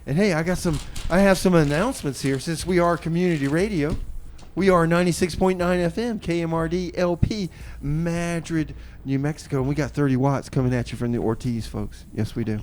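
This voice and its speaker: Male community radio host, slightly high voice, casual ad-libbed delivery, halting, homespun, repetitive phrasing. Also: darkish tone, slight background noise. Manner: hesitant, folksy, repeats phrases. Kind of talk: casual, improvised on-air chat and announcements.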